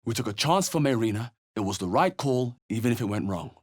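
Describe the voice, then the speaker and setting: An actor playing a wry, wary adventurer hero in a fantasy video game, speaking in a measured, understated, dry way. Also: male voice, mid-range voice, animated, natural voice. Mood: wary, thoughtful. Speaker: video game player character voice. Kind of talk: scripted fantasy game exploration barks.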